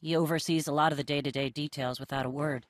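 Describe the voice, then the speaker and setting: A woman's voice: deep voice, steady tone, fast, dry recording, natural voice. An actress playing a tough, dry-witted female Mandalorian leader in a video game, assured and wry. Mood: thoughtful. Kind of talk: video game cutscene acting.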